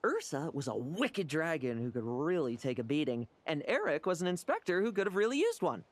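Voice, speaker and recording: A wry young knight in a fantasy game. His pitch leaps around freely, giving him a breezy, sardonic, playful air. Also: wildly swooping pitch, a little fast. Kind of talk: video game voice acting.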